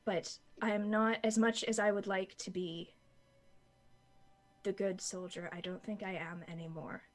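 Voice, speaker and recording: A woman voicing a troubled woman soldier, halting, searching for words, faint music behind. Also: mid-range voice, little pitch movement, lightly acted. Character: doubting woman soldier, earnest and unsure. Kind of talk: in-character tabletop roleplay.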